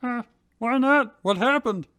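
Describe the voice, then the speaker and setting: A man's voice: extremely high pitch, a little slow, a bit bright, clean tone, pitched above the performer's own voice. A man voicing a sheepish, puzzled young male coordinator in a wobbly, puppet-like comic voice for a fan fiction reading. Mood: curious. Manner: wobbly puppet-like voice that began as a frog impression.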